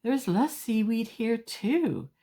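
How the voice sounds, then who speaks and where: A woman's voice: fairly high pitch, expressive, slow pace, warm tone. A woman storyteller reading a children's picture book aloud expressively, with emphatic phrasing and small asides to young listeners.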